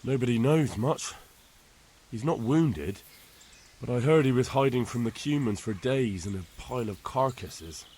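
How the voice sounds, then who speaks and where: An actor playing an earnest, helpful young medieval villager in a video game, speaking plainly. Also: male voice, mid-range voice, expressive, a little slow, darkish tone, slightly rough. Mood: earnest, asking. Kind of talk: video game cutscene dialogue.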